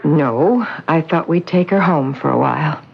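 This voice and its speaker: An actress playing a woman who poses as a patient's mother, speaking in a soft, coaxing, soothing way. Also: sits low, moderate pace, vocal fry, highs cut off, a little hiss or hum. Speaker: visitor posing as the patient's mother.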